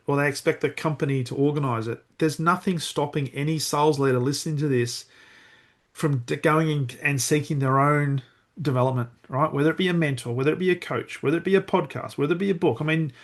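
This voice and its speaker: A man hosting a podcast interview, agreeing with his guest and building on the guest's points. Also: a little high. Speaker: podcast host.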